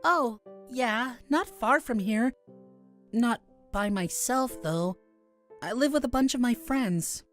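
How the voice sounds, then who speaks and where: Woman as shy, slow-spoken, high-voiced boy. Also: expressive, soft background music. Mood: pensive. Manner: timid, halting, soft-spoken. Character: shy, fragile, cute young forest boy, Fawn.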